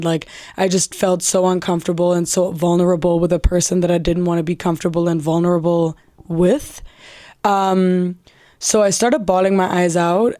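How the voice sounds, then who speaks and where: A young woman hosting a solo podcast in a candid, profane, chatty way, her voice raspy and tired after a weekend of socializing. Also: lowish voice, mellow, no room sound, clean studio sound. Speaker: podcast host. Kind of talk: casual solo podcast monologue.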